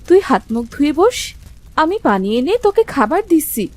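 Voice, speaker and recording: A woman voicing a gentle, protective elder sister in a cartoon, soothing and caring in manner. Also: high voice, very animated, brisk, dry recording. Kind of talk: Bengali cartoon voice acting.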